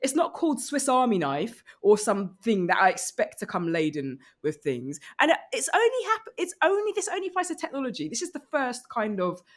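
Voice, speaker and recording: A woman's voice: on the high side, big pitch swings, fairly quick, few pauses. A woman guesting on a comedy podcast, ranting emphatically and with exasperation, hammering points by repetition. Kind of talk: comic rant and banter.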